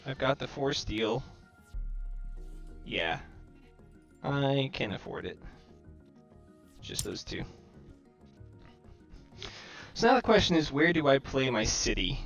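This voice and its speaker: A man's voice: mid-range voice, vocal fry, a bit bright. A man giving casual game commentary, deliberating aloud to himself through a microphone that distorts his voice to sound robotic. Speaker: gamer commentating own match. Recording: robotic, glitchy home microphone.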